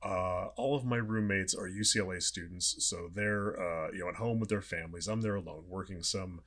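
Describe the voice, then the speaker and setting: Husky low-voiced man telling stories. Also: a little fast, a bit bright. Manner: chatty, self-mocking storyteller. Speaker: voice actor guest. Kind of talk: casual storytelling chat.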